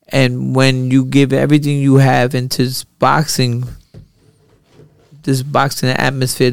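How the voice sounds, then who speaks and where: A man hosting a podcast, telling rambling, profane stories in an animated way. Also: medium pitch, gravelly, clean tone, no echo, clean studio sound. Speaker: podcast host and boxer. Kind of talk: casual podcast chat.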